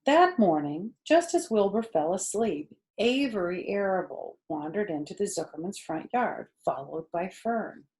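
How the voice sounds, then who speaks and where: A woman storyteller narrating a children's book in an expressive reading style. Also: medium pitch, measured pace, hardly any pauses.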